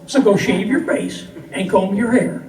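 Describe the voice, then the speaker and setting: A man's voice: high voice, a little slow, a little mellow. A man voicing a sassy, emphatic country woman in a folksy comic poem, recorded in a roomy space. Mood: exasperated, scolding. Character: sassy, exasperated cowboy-poem woman scolding and explaining. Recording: roomy, distant stage recording with noise.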